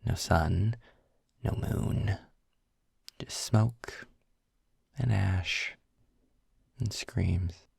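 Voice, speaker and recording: A man playing a snarky, weary, well-spoken demon guide in an intimate audio roleplay, with a husky, gravelly voice and a dry, wordy manner. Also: deep voice, darkish tone, no room sound, exaggerated voice. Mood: dryly sardonic. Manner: sarcastic, wordy, dry, deliberate. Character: snarky, weary, erudite male demon guide trapped in hell.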